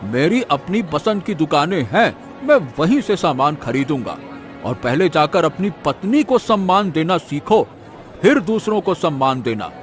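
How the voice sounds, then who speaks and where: A man plays a proud, wealthy old villager in a cartoon tale, blunt and scornful. The delivery swings widely in pitch and sits fairly high, with light music behind. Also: very animated, measured pace. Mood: irritated, scornful. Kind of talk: animated moral story acting.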